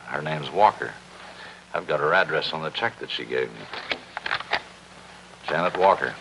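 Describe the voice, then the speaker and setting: Very deep, gravelly, weary loner. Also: male voice, expressive, normal speed. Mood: guarded, wry. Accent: American. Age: middle-aged. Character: weary, hard-drinking loner diver, grimly philosophical. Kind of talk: 1950s TV drama acting. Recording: noisy old 1950s television soundtrack.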